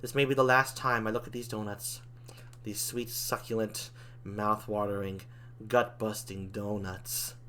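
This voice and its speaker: A man acts out an excitable demon in improvised comedy. His voice is bright and forceful, leaping around in pitch. He rambles theatrically, swears freely and stays chummy even in a panic. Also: fast. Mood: wistful. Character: excitable male demon, donut-loving, foul-mouthed, panicky, chummy. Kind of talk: improvised comic role-play.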